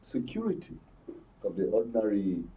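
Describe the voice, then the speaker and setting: A man's voice: on the low side, wildly swooping pitch, normal speed, warm tone. Nigerian man, distant muffled mic, emphatic commentary. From Benue, Nigeria. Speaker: studio guest, journalist commentator. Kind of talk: current affairs panel commentary. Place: broadcast studio panel.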